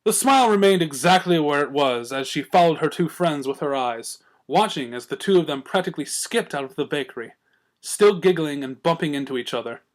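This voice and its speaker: A man reading fiction aloud as a storyteller, with expressive scripted narration. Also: fairly high pitch, a little fast. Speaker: story reader.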